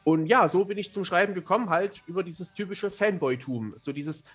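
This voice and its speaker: A man's voice: high-pitched, moderate pace, slightly rough, soft background music. A man chatting casually as a guest in an interview, with a wry, self-deprecating, colloquial way of talking. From Germany. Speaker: fantasy author, podcast guest.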